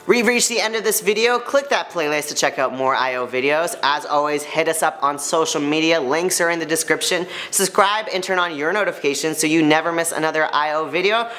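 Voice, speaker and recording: A young man with a high-pitched voice hosting an upbeat, scripted news recap in a studio, chatty and playful. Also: a little fast, hardly any pauses, sharp tone. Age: young adult. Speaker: host.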